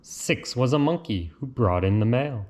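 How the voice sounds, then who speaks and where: A man reads a children's storybook aloud in a deliberate, lilting way, with casual asides. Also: mid-range voice, lively intonation, darkish tone, slight background noise. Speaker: reader of picture books.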